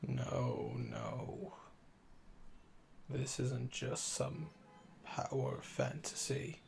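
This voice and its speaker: A man voicing a calm, smug, flirtatious assassin in a slow, intimate ASMR roleplay, deliberate and condescending, often dropping to a murmur. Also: medium pitch, gravelly, slight background noise, exaggerated voice. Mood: brooding, intimate.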